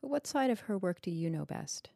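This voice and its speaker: Composed, mellow woman hosting a podcast. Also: medium pitch, sing-song, a little fast, vocal fry, no room sound, clean studio sound. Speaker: podcast host. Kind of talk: scripted podcast introduction and literary interview.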